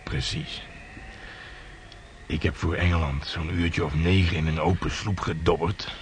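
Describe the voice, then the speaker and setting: An actor playing a gruff, lusty and gloomy old sea hand in a historical radio play, with earthy sailor talk. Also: male voice, sits low, steady tone, measured pace. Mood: gloomy, grumbling. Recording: noisy radio play recording.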